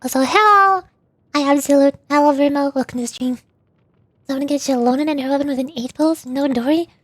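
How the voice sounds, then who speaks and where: A woman hosting a casual gaming livestream, chatting in an animated, playfully whiny way. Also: high-pitched, quick pace, dry recording. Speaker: VTuber livestream host.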